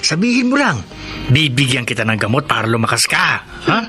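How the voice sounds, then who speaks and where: A man's voice: medium pitch, very animated, normal speed, bright, forceful tone. A Filipino man playing a lewd, pushy, leering bar customer in a radio drama. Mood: lustful, taunting. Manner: leering, drawling, punctuated with chuckles. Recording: dramatic music behind.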